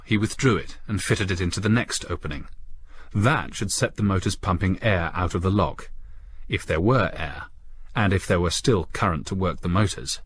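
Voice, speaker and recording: Deep-voiced male sci-fi story narrator. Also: slight background noise. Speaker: audiobook narrator. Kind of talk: dramatic scripted story narration.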